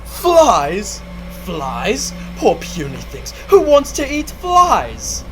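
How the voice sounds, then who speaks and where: A man's voice: high-pitched, wildly swooping pitch, drawn-out words, music in the background, full character voice. A man playing a raving asylum lunatic who wails, pleads and gloats over flies and spiders in a radio drama. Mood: gloating, crazed. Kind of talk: stage radio drama acting.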